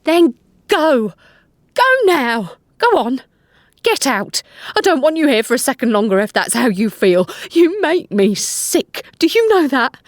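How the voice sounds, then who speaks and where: Woman playing a high, furious, scornful mother. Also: big pitch swings, measured pace, dry recording, studio quality. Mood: furious, disgusted. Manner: shouting, scornful. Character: furious, disgusted mother throwing out her husband. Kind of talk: audio drama acting.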